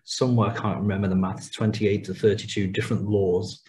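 Middle-aged male panelist on a video call; low-pitched, loose and rambling. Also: level tone, brisk, darkish tone. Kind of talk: online panel discussion. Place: small room indoors.

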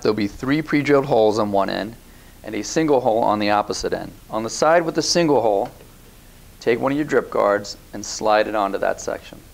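A man in his thirties giving calm step-by-step product instructions on a lapel mic, recorded in a bare indoor room. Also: mid-range voice, vocal fry, slight background noise. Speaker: product demonstrator. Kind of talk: scripted instructional voice-over.

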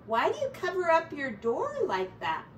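A woman's voice: high-pitched, huge pitch swings, normal speed, voice pushed up. A woman voicing a small, polite, curious male pocket mouse from a picture book, hesitant and full of questions. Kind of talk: children's picture-book character voice. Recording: roomy, distant mic, faint noise.

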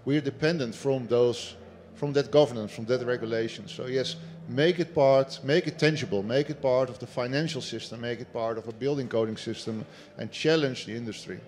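Panel guy from construction, mid pitch, steady and emphatic, big hall. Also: normal speed, hardly any pauses. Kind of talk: panel discussion.

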